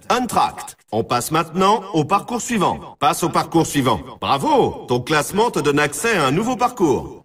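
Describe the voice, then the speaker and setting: A man's voice: sits high, a bit bright, slightly rough, recorded from a distance, heavily acted. A man playing a brash, teasing snowboard race announcer in a video game, with punchy scripted delivery. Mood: upbeat, congratulatory. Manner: punchy exclamatory delivery in short scripted lines. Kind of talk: scripted sports announcer lines with colloquial address.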